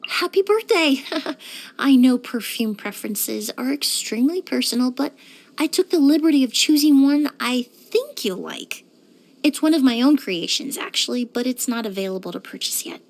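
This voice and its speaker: A woman playing a courteous, composed young woman in a video game, speaking politely and earnestly with careful, measured wording. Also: high-pitched, animated. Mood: warm, gracious. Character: poised, courteous young woman perfumer and forensic cleaner. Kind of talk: scripted video game character voice lines.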